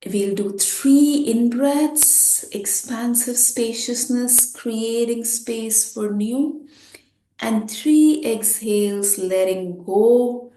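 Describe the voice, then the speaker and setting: Very slow, mellow woman guiding meditation. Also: a little high. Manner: gentle, unhurried, pausing between phrases. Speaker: meditation teacher. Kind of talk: guided meditation, slow and spoken in short phrases. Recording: roomy, echoing sound.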